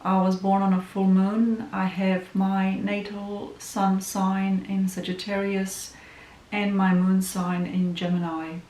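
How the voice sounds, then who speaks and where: A woman from Romania with a Romanian accent, a tarot reader introducing herself in a casual, soft, musing way with lots of ums. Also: mid-range voice, little pitch movement, slow. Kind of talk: casual self-introduction vlog. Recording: echoey room with faint hiss.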